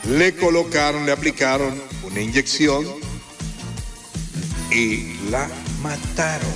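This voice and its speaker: High-voiced Colombian radio newsman, theatrical delivery. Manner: theatrical, drawn-out phrases and rhetorical asides. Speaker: radio news presenter. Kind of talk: lively, colloquial morning radio headlines. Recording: loud music bed under voice. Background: music.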